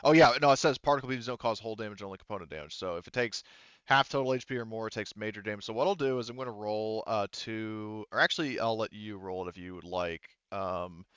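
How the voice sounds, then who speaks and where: A man with a low, clear voice runs a tabletop roleplaying game. He talks informally and swears as he reasons through rules aloud. The sound is dry and a little dull, as if on a close lo-fi mic. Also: quick pace, fairly bright tone, no room sound. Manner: informal, profane, thinking aloud. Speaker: game master. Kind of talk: casual tabletop game narration and rules talk.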